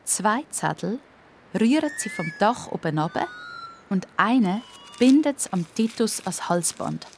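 A woman narrator with a Swiss accent reads a children's audio story. Her voice sits at a middle pitch, and the delivery is that of scripted storytelling. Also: sing-song, measured pace, a little hiss or hum.

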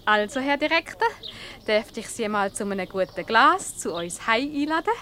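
High-voiced, coquettish Swiss wife. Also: female voice, animated, normal speed, bright, forceful tone. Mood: coaxing, flirtatious. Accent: Swiss German. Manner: coquettish, coaxing. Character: charming, cunning flirtatious wife coaxing a director. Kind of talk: radio comedy acting.

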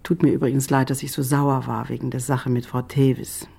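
Husky, jealous middle-aged friend. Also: female voice, extremely low pitch, flat delivery, a little fast, dark tone, full character voice. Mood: jealous, apologetic. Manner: probing, familiar, reproachful. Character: nosy, jealous older female friend of the detective. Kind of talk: naturalistic radio crime drama acting.

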